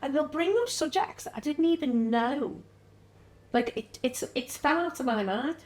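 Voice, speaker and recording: A woman with a mild Liverpool-area English accent, a podcast guest chatting casually, acting out what other people say with lively pitch jumps and frequent laughs. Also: normal speed. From Liverpool area, England. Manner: animated, acts out quoted voices, frequent laughs. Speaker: guest, wellness business founder.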